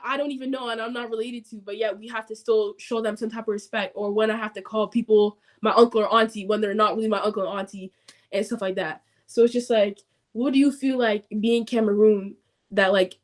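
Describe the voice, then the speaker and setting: A teenage girl hosting a casual podcast interview, hesitant and full of ums and likes. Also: medium pitch. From Nigerian family background. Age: teens. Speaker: podcast host.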